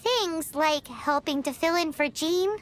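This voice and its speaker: A woman voicing a tiny, excitable fairy girl who talks about herself in the third person, for a game. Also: high voice, measured pace, soft background music. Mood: chirpy. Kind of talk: game voice acting.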